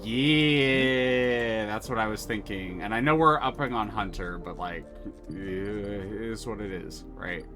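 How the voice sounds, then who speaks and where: Man, game streamer, thinks aloud, drawn-out pace, faint music behind. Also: on the low side. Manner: muttering his plans, swears when excited. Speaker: streamer playing a video game. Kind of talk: thinking aloud while playing.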